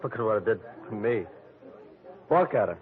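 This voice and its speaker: A man's voice: fairly low pitch, expressive, moderate pace, lightly acted. Man acting a bitter groom, tinny radio. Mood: bitter, sullen. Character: bitter, self-pitying, petulant rich bridegroom. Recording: tinny old radio broadcast, music.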